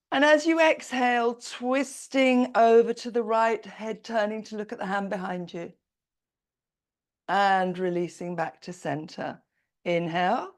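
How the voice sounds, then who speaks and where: A woman teaching a slow-flow yoga class, calmly cueing each pose while doing it herself, her voice a little croaky. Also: medium pitch. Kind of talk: slow guided yoga instruction.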